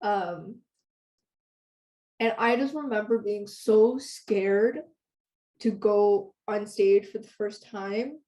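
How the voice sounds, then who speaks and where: A woman's voice: mid-range voice, slow pace, pauses often, mellow, poor audio. A teenage girl from Canada who grew up speaking Hebrew, chatting casually as an interview guest with many "like"s and "um"s. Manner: chatty, lots of "like" and "um". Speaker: guest singer-songwriter.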